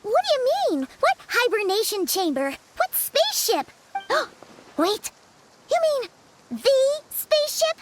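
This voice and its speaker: A woman voicing a small, chirpy, excitable childlike fairy companion in a video game. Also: slow pace, noisy background, full character voice. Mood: astonished. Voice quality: squeaky, childlike. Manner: refers to herself in third person, exclamatory. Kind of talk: video game character dialogue. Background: background game music.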